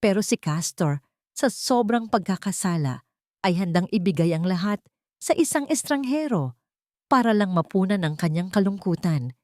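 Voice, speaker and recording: A woman's voice: medium pitch, animated, moderate pace, warm tone, dry recording, studio quality, close to an everyday voice. A woman with a Filipino accent voicing a scheming, venomous rich female villain in a dramatic audio storybook. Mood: cold scorn. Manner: menacing, taunting, calculated.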